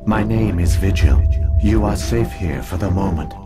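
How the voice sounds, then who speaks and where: A deep-voiced ancient artificial intelligence acted by a man from a science fiction game. It is the grave keeper of a dead people and speaks in measured, formal phrases. Clear music plays behind it. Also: lightly acted. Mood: solemn warning.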